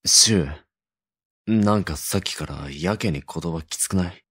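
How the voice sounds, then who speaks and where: A man's voice: deep voice, a little slow, a bit bright, husky, exaggerated voice. A man voicing a blunt, sensible young delinquent in an audio drama. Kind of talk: Japanese boys' audio drama.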